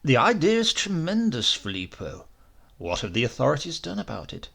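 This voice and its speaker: A man voicing a curious, excitable doctor in a horror audiobook, inquisitive and musing in his delivery. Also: a little high, normal speed, gravelly, full character voice. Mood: impressed. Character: curious, excitable male Italian doctor, the professor's friend.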